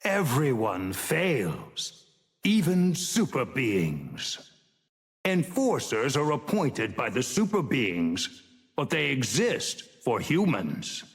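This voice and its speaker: A man playing an ancient, imperious sage with divine power in a video game, speaking in stern, solemn, grandiose proclamations. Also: mid-range voice. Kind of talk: theatrical game voice lines. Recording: long cavernous reverb on the voice.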